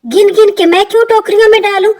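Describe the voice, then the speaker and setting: Woman as a squeaky, touchy young sheep. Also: fairly even intonation, fast talker, a little mellow. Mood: annoyed.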